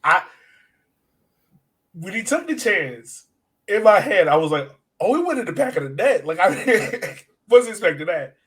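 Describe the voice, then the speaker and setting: A man with a fairly high-set voice co-hosts a soccer fan podcast. He talks through matches in a casual, conversational way, animatedly acting out reactions as he goes. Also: very animated, a little slow, a bit bright. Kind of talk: casual sports chat, match analysis.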